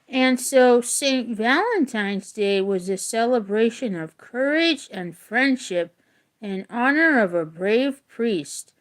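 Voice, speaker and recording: Female teacher for read-aloud narration: unhurried, very animated intonation, storytelling delivery with informal explanatory asides. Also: on the high side, low-quality recording. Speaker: teacher reading a book aloud.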